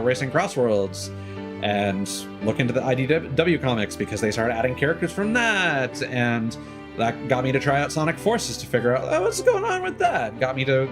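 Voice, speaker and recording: A man in his thirties chatting casually on a game livestream, rambling and opinionated as he talks. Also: mid-range voice. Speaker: game streamer.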